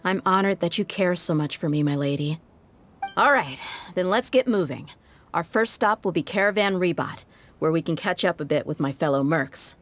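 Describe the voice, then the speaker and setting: Low-voiced, tough mercenary woman played by an actress. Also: expressive, normal speed, slight background noise, natural voice. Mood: steady, confident. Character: tough, cool adult female desert mercenary. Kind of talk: voiced video game dialogue.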